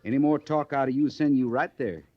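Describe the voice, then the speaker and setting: An actor playing a stern, plain-spoken cavalry sergeant with folksy grammar in an old radio western. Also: male voice, mid-range voice, fairly quick, dry recording, lightly acted. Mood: stern, impatient. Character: gruff, no-nonsense cavalry sergeant, loyal and stern. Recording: muffled vintage radio recording.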